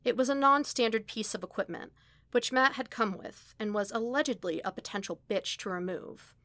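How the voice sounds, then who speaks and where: A woman's voice: a little high, moderate pace, fairly bright tone, no room sound. Woman reading a story, dry close sound. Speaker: podfic reader. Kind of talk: scripted narration of a fan story.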